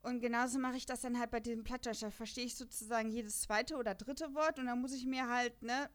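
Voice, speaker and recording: A woman from the Lower Rhine in Germany talks in a fairly high voice. She is a podcast co-host in casual conversation, chatty and rambling, with a self-deprecating way of putting things. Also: fairly even intonation, a little fast. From Lower Rhine region, Germany. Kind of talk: casual chat about an audio drama.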